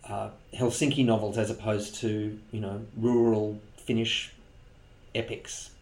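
A man interviewing, asking a long question in a searching, halting way. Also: fairly low pitch, little pitch movement, a little mellow. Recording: echoey room.

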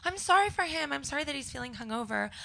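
A young Canadian woman with a scratchy voice doing a deadpan stand-up set before a live audience. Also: high-pitched, fast, vocal fry. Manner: deadpan one-liners to a crowd. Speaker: stand-up comedian guest.

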